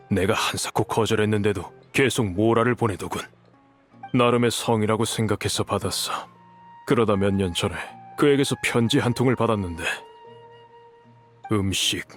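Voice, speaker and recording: A male actor plays a dignified older merchant with a low voice and a measured, elderly formality. He is a gentle, remorseful father figure in a game drama, with soft music underneath. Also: lightly acted. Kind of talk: dubbed video game acting.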